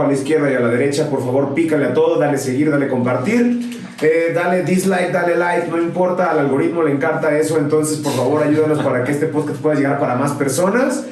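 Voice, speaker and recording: A man's voice: on the high side, a little mellow. Upbeat man with a Mexican accent, echoey room. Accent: Mexican Spanish. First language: Spanish. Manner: upbeat, playful, mixing two languages. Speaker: podcast host. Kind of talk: enthusiastic podcast hosting. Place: room in Guadalajara. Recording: very echoey room, mic far off.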